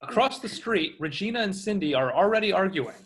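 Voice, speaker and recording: A man's voice: high-pitched, animated, fairly quick. A man reading screen directions as scripted narration at a table read.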